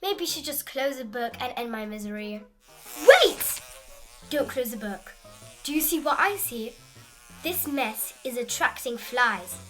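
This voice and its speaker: A woman's voice: fairly high pitch, expressive, unhurried, over music, low-quality recording. A woman voicing a fussy, dramatic, easily panicked male frog in a children's storybook, with theatrical, scolding delivery. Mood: alarmed, frantic. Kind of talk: animated children's storybook read-aloud.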